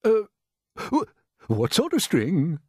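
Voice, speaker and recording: A man voicing an excitable, sharp old male grasshopper in a children's story, speaking emphatically. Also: high voice, moderate pace, no echo, clean studio sound, pitched above the performer's own voice. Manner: emphatic, exclaiming.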